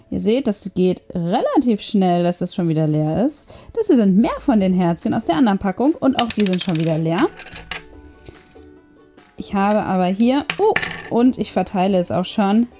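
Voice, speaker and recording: A woman's voice: medium pitch, lively intonation, normal speed. A woman giving casual craft tutorial commentary, chatty and thinking aloud as she works. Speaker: craft video host. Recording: music behind the voice. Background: background music.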